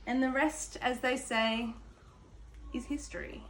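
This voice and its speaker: Woman as a high-voiced, slow, self-justifying storybook wolf. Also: steady tone, fairly bright tone, slight background noise. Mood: indignant, self-justifying. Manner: folksy, exaggerated, confiding storyteller. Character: self-justifying chatty male wolf protesting his innocence. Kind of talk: children's storybook read-aloud.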